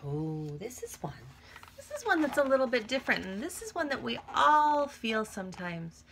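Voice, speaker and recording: A woman's voice: on the high side, normal speed, a little hiss or hum. A middle-aged woman teacher reading a picture book aloud to young children, expressively, with chatty asides. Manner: expressive, acts out feelings with emphasis and whispers. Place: small cluttered room at home, indoors.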